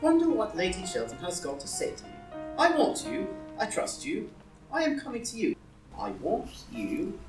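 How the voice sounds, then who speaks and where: A woman's voice: mid-range voice, huge pitch swings, normal speed. A woman playing a foppish, idle, witty young lord in a comedy play reading, languid and arch. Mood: musing. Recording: roomy live reading, distant mic, music.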